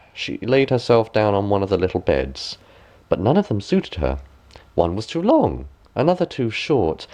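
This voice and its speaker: Male bedtime-story narrator. Lowish register, very varied intonation, expressive scripted fairy tale read.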